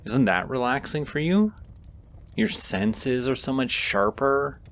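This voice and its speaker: Slow-talking, tender wizard played by a man. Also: medium pitch, expressive, a little hiss or hum. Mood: gently reassuring. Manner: tender, soft-spoken, hesitant when flustered. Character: gentle, flustered male wizard comforting a companion he secretly adores. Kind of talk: intimate comforting audio roleplay.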